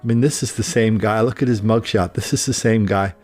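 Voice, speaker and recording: A man's voice: lowish voice, fairly even intonation, brisk, a little mellow, faint music behind. A man delivering an indignant opinion monologue as a political commentator, repeating points for emphasis.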